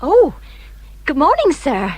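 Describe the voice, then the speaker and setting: An actress playing a deferential, easily flustered young housemaid in a period drama. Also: female voice, sits high, wildly swooping pitch, slow pace, a little mellow, full character voice. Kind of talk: British period radio drama acting.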